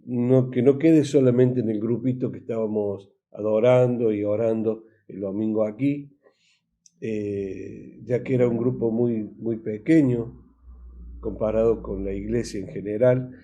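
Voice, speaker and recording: Slow, mellow-voiced Argentine pastor. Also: male voice, on the low side, highs cut off. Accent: Argentine Spanish. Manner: halting, repetitive, with rhetorical questions. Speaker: pastor preaching. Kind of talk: informal recorded sermon.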